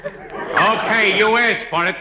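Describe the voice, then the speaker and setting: High-voiced, menacing gangster. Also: male voice, takes it slowly, over a noisy crowd. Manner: tough gangster talk. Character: defiant, menacing gangster who hates cops. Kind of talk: 1940s radio gangster parody. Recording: noisy, echoey old radio recording.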